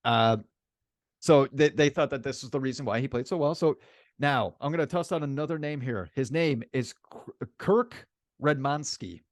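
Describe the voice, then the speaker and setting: A man's voice: mid-range voice, normal speed, dry recording, studio quality. A man co-hosting a sports podcast in casual chat, speaking emphatically and repeating phrases for effect.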